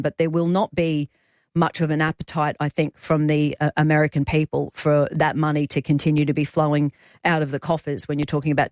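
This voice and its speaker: A woman with an Australian accent co-hosting live radio, explaining news in a measured way. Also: deep voice, darkish tone, no room sound, clean studio sound. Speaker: radio talk show co-host. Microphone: close studio radio microphone.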